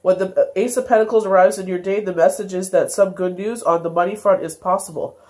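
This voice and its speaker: A woman's voice: medium pitch, fairly even intonation, brisk, darkish tone. A congested woman giving a casual daily tarot reading, meandering with many fillers and self-corrections. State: congested, stuffy nose. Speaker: tarot reader.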